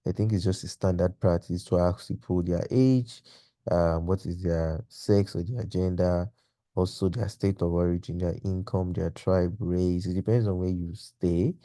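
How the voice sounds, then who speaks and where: A man with a low, very dark and relaxed voice delivers an informal tutorial. He explains in a didactic way, working through example questions like an instructor. The sound is dry, with hardly any room around it. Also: normal speed, no room sound. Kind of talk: informal video lecture, explanatory.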